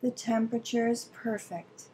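A woman leading a guided visualization meditation in a soothing, gentle, deliberate manner with long pauses. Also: on the high side, steady tone, a little hiss or hum, poor audio.

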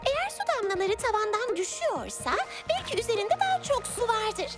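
An actor voicing an eight-year-old girl heroine in a children's cartoon, encouraging, exclaiming and giving clear instructions. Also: extremely high pitch, sing-song, brisk, loud music behind the voice. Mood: thoughtful, encouraging. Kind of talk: animated children's cartoon dubbing.

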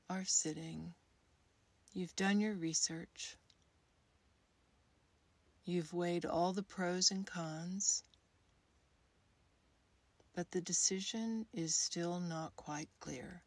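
A woman guides a meditation at a very slow, soothing pace. Her voice is slightly low, soft and airy, with gentle pauses between short phrases. The sound is close and dry. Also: steady tone, slightly rough, no echo. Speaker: guided meditation leader, creativity coach.

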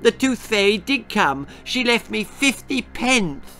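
A gullible, cheerful little boy, put on by a man reading a storybook aloud. The voice sits high and eager, swinging up and down with lively bounce. Faint music plays underneath. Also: a little slow, exaggerated voice. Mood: triumphant.

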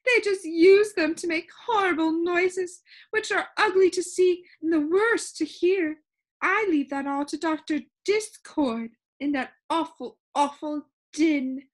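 A woman's voice: very high-pitched, big pitch swings, normal speed, voice pushed up. A woman voicing a bossy, fussy lady keeper of sounds from a children's storybook, with a theatrical, commanding manner. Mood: scolding.

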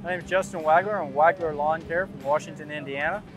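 A man's voice: on the high side, quick pace. A man giving a casual testimonial in an outdoor interview. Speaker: lawn care business attendee. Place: outdoors at a trade expo. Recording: noisy outdoor expo ambience.

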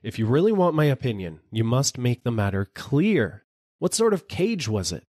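A man voicing a sly little storybook jackal who acts slow-witted, vacant and overly apologetic. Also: mid-range voice, big pitch swings, darkish tone, dry recording, clean studio sound, voice pushed up. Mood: fussy, befuddled. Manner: vacant, apologetic, exaggeratedly puzzled.

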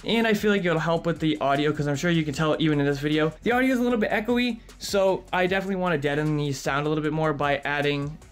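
Higher-pitched man touring his gear. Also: quick pace, few pauses. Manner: upbeat, chatty, enthusiastic about gear. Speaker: streamer and video creator. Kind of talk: casual setup tour. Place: home streaming room, slightly echoey. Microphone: studio dynamic mic on arm.

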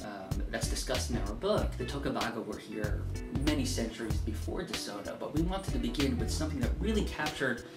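A man's voice: medium pitch, animated, brisk, hardly any pauses. Middle-aged man explaining in an echoey room. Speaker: author of a local history book, interviewee. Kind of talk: casual interview talk. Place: indoor rooms, office and home. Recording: roomy echo, music underneath. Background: instrumental music.

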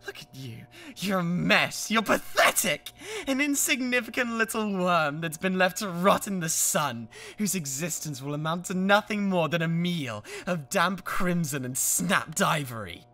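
A man playing an obsessive, jealous young man who scorns and threatens a rival in a theatrical monologue, swinging into shouts. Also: very high-pitched, very animated, normal speed, vocal fry, few pauses, exaggerated voice. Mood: contemptuous, menacing. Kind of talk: dramatic villain monologue.

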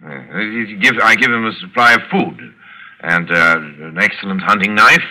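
A suave, sinister aristocratic general, sharp-voiced. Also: male voice, fairly low pitch, normal speed, poor audio. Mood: smug, suave. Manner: suave, theatrical, gloating, mock-courteous. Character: cultured, sinister aristocratic general who hunts men. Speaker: radio actor. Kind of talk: 1940s radio acting. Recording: old radio drama recording.

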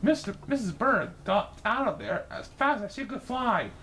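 A woman reading a children's story aloud in an expressive narrating style, with noise behind her. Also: a little high, moderate pace. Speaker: storybook reader.

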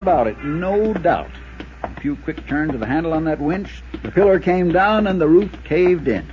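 A man playing an earnest investigator's associate in a 1950s radio drama, halting when challenged. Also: on the high side, animated, lightly acted. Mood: earnest, relieved. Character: earnest male associate convinced of a murder. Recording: muffled vintage radio recording.

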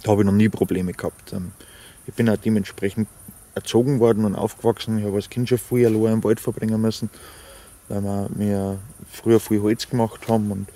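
Man, 30s, low-ish voice, rambling outdoor chat, faint insect noise behind. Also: steady tone, a little fast, dark tone. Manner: rambling, talks to his dog, fillers. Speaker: outdoor vlogger. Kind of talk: casual talk to camera. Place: forest camp at night, outdoors.

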